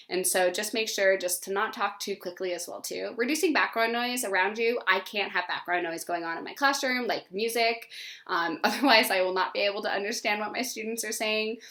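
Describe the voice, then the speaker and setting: A woman's voice: medium pitch, bright, forceful tone. A woman from the East Coast of Canada, a teacher talking through an educational presentation in an earnest, informal and enthusiastic way.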